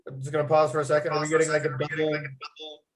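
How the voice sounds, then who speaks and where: A man's voice: on the high side, flat delivery, fast talker, poor audio. A man reading screenplay stage directions as the narrator of a table read.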